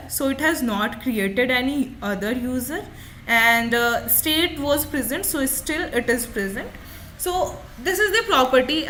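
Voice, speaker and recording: A woman gives a software tutorial in a fairly high, very bright voice. She explains each step of what is on screen and restates key points as an instructor would. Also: a little hiss or hum.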